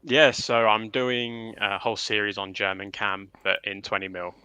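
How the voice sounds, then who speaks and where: A man's voice: on the low side, little pitch movement, normal speed, sharp tone, smooth, dry recording. A man co-hosting a livestream, talking casually. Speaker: livestream co-host. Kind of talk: casual chat.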